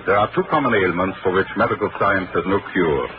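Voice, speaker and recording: An actor playing a polite, formal Austrian ship's doctor with an Austrian accent, in 1940s radio acting. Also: male voice, low-pitched, quick pace, close to an everyday voice. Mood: courteous. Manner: polite, formal, gently joking. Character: courteous Austrian ship's doctor, middle-aged. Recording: noisy, tinny old radio broadcast.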